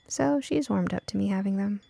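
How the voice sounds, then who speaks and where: A woman's voice: medium pitch, brisk, gravelly, mellow, dry recording. A woman voicing a curious, precocious young girl in a storybook, earnest and direct in manner. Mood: earnest, matter-of-fact. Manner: direct, matter-of-fact, earnest. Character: curious, eager, precocious young girl who loves tinkering. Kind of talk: acted audiobook dialogue.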